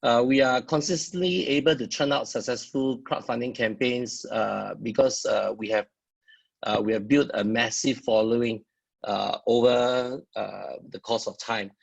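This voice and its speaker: A man with a Singaporean accent giving a founder's talk, pausing often with "uh" fillers. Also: mid-range voice, steady tone, gravelly, slightly rough, rough recording. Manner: halting, frequent "uh" fillers. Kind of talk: presentation with many "uh" fillers.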